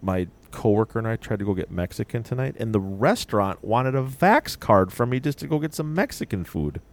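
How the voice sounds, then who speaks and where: A man's voice: on the low side, animated, a little fast. A man in his forties hosting a casual podcast chat, joking and blunt, swearing now and then. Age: 40s. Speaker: podcast host.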